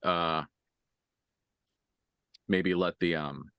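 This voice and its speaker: Low-voiced man as game master, dry close sound. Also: steady tone, a bit bright, smooth, dry recording. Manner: halting, casual, sweary. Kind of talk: improvised tabletop game narration and in-character dialogue.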